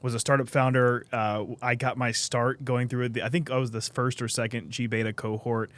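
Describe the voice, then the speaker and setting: A man's voice: mid-range voice, level tone, moderate pace, fairly bright tone. A man hosting a podcast, interviewing a guest in an upbeat, friendly, casual way. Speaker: podcast host.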